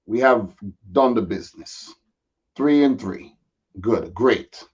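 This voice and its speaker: A man with a slightly raspy voice chatting casually and in a laid-back way as a guest football pundit. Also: lowish voice, animated, takes it slowly. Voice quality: a little raspy. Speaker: guest football pundit, Manchester City fan. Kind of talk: casual chat. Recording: dull, lo-fi audio.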